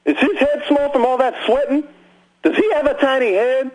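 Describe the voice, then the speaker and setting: A man's voice: very high voice, steady tone, moderate pace, a little mellow, low-quality recording. A man playing a foul-mouthed oddball who rambles through repetitive questions as a caller on a comic game radio show. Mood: nosy, fixated.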